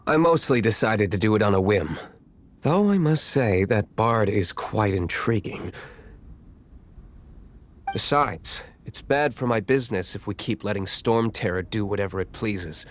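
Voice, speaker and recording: A man playing a cool, aloof young wine tycoon in a video game, with a reserved, measured and formal delivery. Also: on the low side, lively intonation, a little hiss or hum. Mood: dry, aloof. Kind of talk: video game character dialogue.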